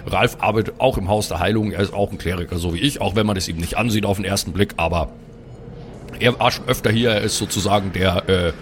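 Man playing an earnest, low-voiced cleric. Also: fast, slight background noise, lightly acted. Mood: earnest, hesitant. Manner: hesitant, conciliatory, explaining. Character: earnest, polite male cleric adventurer.

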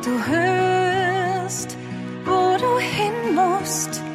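A woman performing a gentle, dreamy narrator of mindfulness verse in a children's audio play. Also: very high-pitched, extremely slow, exaggerated voice. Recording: produced audio with loud music. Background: loud instrumental music.

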